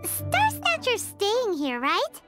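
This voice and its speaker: Tiny game fairy sidekick: extremely high childlike voice, wildly animated, cheeky, music behind. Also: female voice, takes it slowly, full character voice. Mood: matter-of-fact. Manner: excitable, refers to herself by name. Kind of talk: English video game voice acting.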